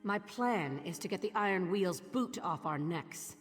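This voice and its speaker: Low-voiced, resolute thieves guild leader. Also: female voice, expressive, a little slow, big-room sound, faint music behind. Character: determined, guarded female thieves guild leader. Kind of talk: video game voice acting.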